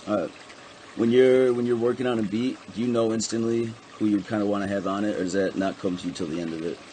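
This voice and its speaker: A man's voice: on the low side, steady tone, quick pace, mellow, slight background noise, rough recording. A man interviewing a guest, asking long questions with many ums and restarts.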